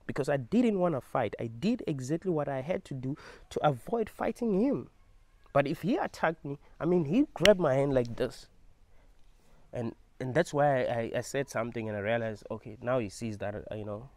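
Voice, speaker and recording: A young man, telling a personal story to camera in an animated, emphatic way and swearing freely. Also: on the high side, dry recording. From South Africa. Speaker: vlogger.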